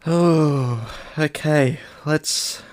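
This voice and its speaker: A man co-hosting a casual film podcast, halting and dryly playful. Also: a little high, no echo. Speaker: podcast co-host.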